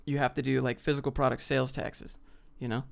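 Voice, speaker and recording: A man's voice: mid-range voice, level tone, brisk, gravelly. A man from the United States hosting a livestream, chatting off the cuff in a blunt, slangy way as he reads out questions and answers them. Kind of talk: casual off-the-cuff Q&A chat, reading questions aloud.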